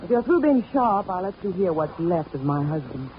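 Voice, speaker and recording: A tough, sarcastic yacht wife. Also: female voice, on the low side, very animated, normal speed. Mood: sardonic. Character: sharp-tongued young wife on a yacht, cynical. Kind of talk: 1940s radio acting. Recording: dull old radio recording with faint hiss.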